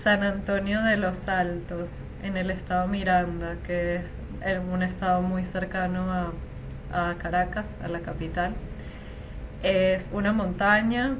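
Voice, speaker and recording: A woman's voice: on the low side, little pitch movement, unhurried, noisy background. Chatty Venezuelan woman in her thirties. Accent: Venezuelan Spanish. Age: 30s. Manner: chatty, reflective, frequent fillers. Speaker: interview guest, Spanish teacher. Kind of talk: casual conversational interview.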